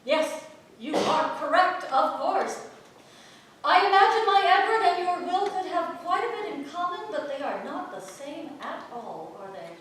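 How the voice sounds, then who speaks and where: A woman's voice: high voice, big pitch swings, a little slow, fairly bright tone, exaggerated voice. An actress playing a proud, wry married townswoman of early modern England in a staged play reading. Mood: guarded. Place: playhouse stage. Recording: echoey theatre from far off, faint noise.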